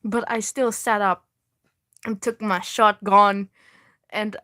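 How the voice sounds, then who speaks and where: A woman's voice: mid-range voice, level tone, takes it slowly, pushed and bright. A young woman streaming live, reading her old fan fiction aloud with self-mocking, swearing asides and frequent laughter, often breaking off mid-sentence. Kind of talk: casual livestream reading with asides.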